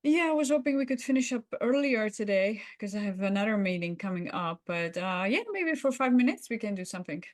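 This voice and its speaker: A woman's voice: mid-range voice, lively intonation, brisk, few pauses. A woman co-hosting a conversational podcast, speaking in an encouraging, affirming way. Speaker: podcast co-host. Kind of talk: roleplay and conversational debrief.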